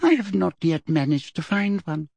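A man's voice: high voice, sing-song, moderate pace, a little mellow, breathy edge, no echo, pitched above the performer's own voice, full character voice. A man voicing a simpering, falsely sweet woman official with a cruel streak underneath, as an audiobook character. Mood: smug.

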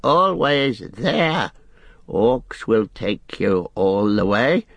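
A man's voice: on the low side, very animated, very slow, fairly bright tone, no room sound, heavily acted. A man voicing a wretched, cunning, self-pitying creature with a strained whine, repeating phrases and calling itself we, in an audiobook. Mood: miserable whining. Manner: hissing repetitions, speaks of himself as we.

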